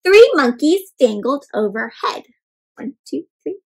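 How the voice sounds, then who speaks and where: High-voiced woman reading interactively to children. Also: unhurried. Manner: animated, asks questions and explains words to children; dramatic gasps and exclamations. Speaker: children's read-aloud storyteller. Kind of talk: interactive picture-book read-aloud for young children.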